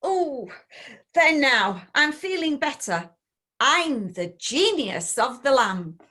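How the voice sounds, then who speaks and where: A woman's voice: high voice, sing-song, takes it slowly, sharp tone, exaggerated voice. A woman playing a ditzy, cheerful genie in a pantomime, with a theatrical delivery. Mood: chirpy, pleased. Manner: theatrical, swooping. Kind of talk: pantomime acting.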